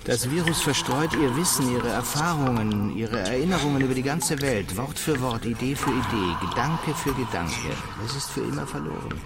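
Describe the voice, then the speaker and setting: Casting: actor as blunt male tech colleague, medium pitch, animated inflection, firm and matter-of-fact, radio drama. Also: moderate pace, few pauses, very noisy, close to an everyday voice. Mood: grave, explaining.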